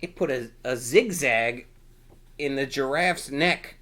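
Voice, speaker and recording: A man's voice: medium pitch, slow pace, bright, forceful tone. A man reading a children's picture book aloud in a playful, exclamatory manner with dramatic emphasis. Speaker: storytime reader.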